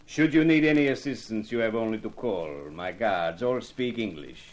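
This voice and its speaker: A man's voice: lowish voice, normal speed, lightly acted. A man playing an imperious, self-indulgent foreign prince who speaks formally in a 1950s radio drama.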